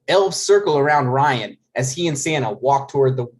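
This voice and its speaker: A man's voice: mid-range voice, expressive, normal speed, a little mellow. A man narrating the stage directions of a comedy script at a table read.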